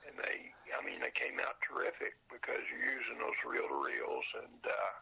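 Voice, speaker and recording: An older man with a raspy, gravelly voice talking casually and plainly as an interview guest over a dull phone line. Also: on the low side, big pitch swings, moderate pace, pushed and bright. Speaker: podcast guest, old-time radio collector.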